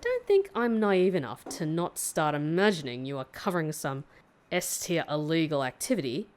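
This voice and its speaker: An actress playing a defiant, sharp-tongued hitchhiker in an audio drama, confrontational and sarcastic. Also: female voice, low voice, big pitch swings, normal speed.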